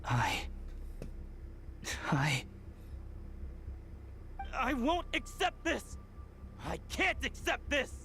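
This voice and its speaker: Game role: defiant, distraught youth, slow, halting, very expressive. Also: female voice, high-pitched, a little hiss or hum, exaggerated voice. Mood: anguished, defiant. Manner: halting, emotional, protesting. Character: anguished, defiant youth clinging to a loved one. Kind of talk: dramatic video game voice acting.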